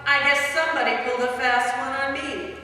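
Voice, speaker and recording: A woman's voice: high-pitched, fairly even intonation, fast talker, pushed and bright, faint music behind. A woman playing a wisecracking, flippant noir dame in a radio parody, slangy and unbothered. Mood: mock innocent. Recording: echoey hall recording from far off.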